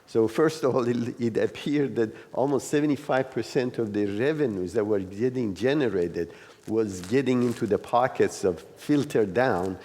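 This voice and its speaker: A man's voice: mid-range voice, normal speed, warm tone. A man leading a class, informally explaining a reading in loose, hesitant phrases as he searches for words. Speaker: class facilitator. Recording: reverberant hall.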